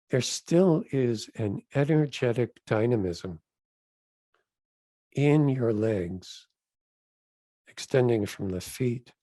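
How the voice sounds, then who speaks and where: A man leading a guided meditation as a rabbi, phrasing each instruction gently and deliberately, with pauses between short phrases. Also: mid-range voice, no echo.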